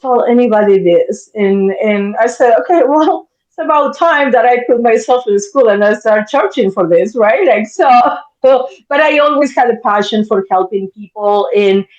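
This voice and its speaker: Woman in her fifties, from Argentina, blunt and direct, swears freely, fairly high voice, roomy and muffled sound. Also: normal speed, darkish tone. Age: 50s. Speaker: therapist guest. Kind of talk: candid interview talk.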